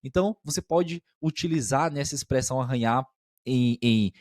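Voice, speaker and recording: A man's voice: mid-range voice, moderate pace, darkish tone, no echo, studio quality. A man co-hosting a podcast as a language teacher, explaining expressions patiently and often checking that listeners follow.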